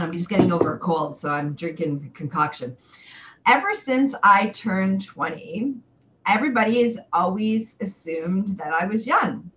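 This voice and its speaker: A woman in her forties hosting a chatty live webcast, talking to viewers in an exuberant, theatrical way with drawn-out emphatic words. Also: mid-range voice, sharp tone, slight background noise. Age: 40s. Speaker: livestream show host and sexual wellness coach.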